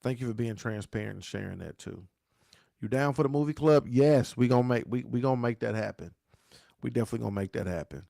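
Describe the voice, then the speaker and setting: Gravelly, preachy pastor with dialect grammar. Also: male voice, mid-range voice, quick pace, dark tone, no echo, studio quality. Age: 40s. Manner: preachy, repeats rhymes and asks "right?" often; dialect grammar, says "y'all". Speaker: pastor hosting a grief talk show. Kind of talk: live-stream talk answering viewer questions.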